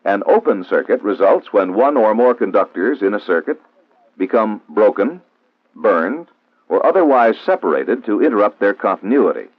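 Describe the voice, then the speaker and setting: A thin, narrow-band old film soundtrack carries an American man narrating a 1960s training film. His fairly low voice is formal and didactic, with lively rises and falls as he gives measured instruction. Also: no echo.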